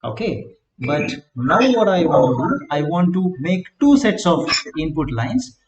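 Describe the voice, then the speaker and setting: Male lecturer, slow and halting, slightly high voice, roomy echo. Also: sing-song, muffled recording. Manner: slow, halting delivery in short word groups, frequent "right?" checks. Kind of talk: classroom lecture on circuit design. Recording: echoey room, faint background hum.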